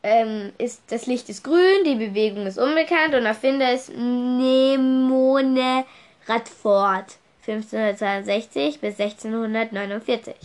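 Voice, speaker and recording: A woman's voice: fairly high pitch, fairly even intonation, unhurried. A young woman with a cold, chatting casually on her solo podcast and rambling through her notes, spelling words out letter by letter. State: stuffy nose from a cold. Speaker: podcast host.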